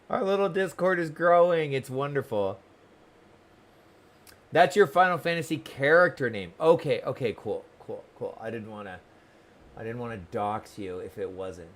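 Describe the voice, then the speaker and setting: A man's voice: mid-range voice, normal speed. A man live-streaming a game, chatting casually and welcomingly with viewers while commenting on the story, often repeating words.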